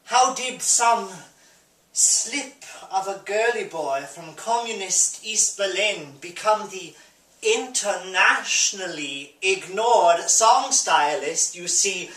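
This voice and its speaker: A man playing a flamboyant, bitter East German drag rock diva in a grandiose, campy stage monologue with dramatic pauses. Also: sits high, pushed and bright, full character voice. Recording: roomy echo, distant microphone.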